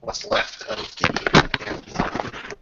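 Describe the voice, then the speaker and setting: A man narrating a tabletop game as game master in a halting way, often pausing mid-sentence, on a dull home microphone. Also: heavy background noise.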